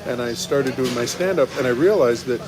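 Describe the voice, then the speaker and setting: A man's voice: medium pitch, fairly quick, background noise. A middle-aged man, a comedian, telling anecdotes casually and often restarting his phrases. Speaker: stand-up comedian guest. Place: restaurant interior. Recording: busy venue with crowd and background bustle.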